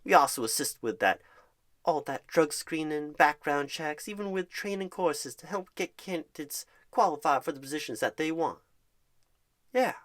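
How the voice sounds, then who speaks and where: A mid-pitched voice performs an ASMR roleplay as a happy job recruiter and career coach. The delivery is encouraging and wordy, explaining things at length. Pet names soften the talk throughout. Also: expressive, moderate pace. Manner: reassuring, wordy, uses pet names like darling and honey. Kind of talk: ASMR roleplay, one side of a phone screening.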